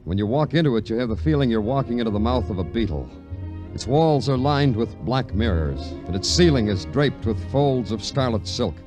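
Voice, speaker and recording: An actor playing a weary, laconic police detective in an old radio noir crime drama. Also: male voice, medium pitch, expressive, normal speed, lightly acted. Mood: moody, noir. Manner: laconic, understated. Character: weary, terse, hard-boiled police detective. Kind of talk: 1940s-50s radio noir acting and narration. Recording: vintage radio recording with music behind.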